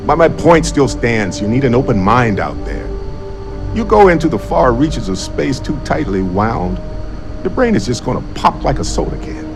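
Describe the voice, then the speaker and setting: A man's voice: medium pitch, sing-song, normal speed, vocal fry, music in the background. A man playing an unflappable, easygoing, joking space explorer in a video game, with a wry, rambling manner. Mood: playful musing. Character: wisecracking, unflappable, easygoing middle-aged male space explorer. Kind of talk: video game dialogue acting.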